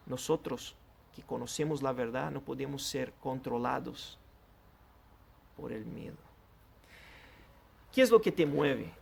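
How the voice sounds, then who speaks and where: A man's voice: on the high side, vocal fry, a little hiss or hum. A man whose first language is Portuguese, preaching a sermon in an earnest, direct way and addressing the listener personally. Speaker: pastor.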